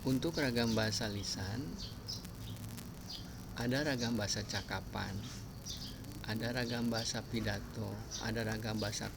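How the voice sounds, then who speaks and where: A man giving a spoken lesson, listing examples one by one with frequent pauses. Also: fairly low pitch, steady tone. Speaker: language teacher. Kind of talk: spoken lecture on language varieties. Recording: clear background noise with birdsong.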